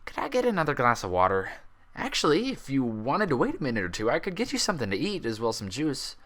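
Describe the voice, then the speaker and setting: A man acts out a kindly stallion doctor in a fantasy story. He chats in an easygoing, reassuring way, attentive to his patient. The voice sits higher than the reader's own. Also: huge pitch swings, quick pace, fairly bright tone. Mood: friendly, amused. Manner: easygoing, reassuring bedside chatter.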